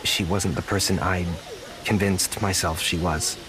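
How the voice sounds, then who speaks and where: An actor playing a naive, apologetic young zookeeper in an audio drama, speaking earnestly with halting stammers. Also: male voice, low voice, steady tone, a crowd in the background. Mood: regretful. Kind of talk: naturalistic audio drama acting.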